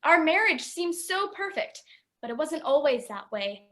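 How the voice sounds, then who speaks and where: A woman plays a confiding, self-assured housewife from a vintage radio commercial. Her voice is high and bright, with sweetly earnest, slightly patronizing delivery like a polished sales pitch. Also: sing-song, unhurried, heavily acted. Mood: confiding, smug. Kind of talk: 1950s-style radio commercial acting.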